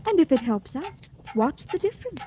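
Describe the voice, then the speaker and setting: A coy scheming young wife. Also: female voice, high-pitched, sing-song, fairly quick. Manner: coy, wheedling, says "darling" a lot. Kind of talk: 1940s radio acting. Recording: old radio broadcast with hiss.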